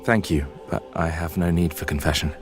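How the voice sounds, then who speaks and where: A man plays a young fantasy game hero with a very low voice. He is polite but guarded and quietly defiant, answering in clipped phrases over clear music. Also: steady tone, brisk, close to an everyday voice. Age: young adult. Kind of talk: dark fantasy game acting.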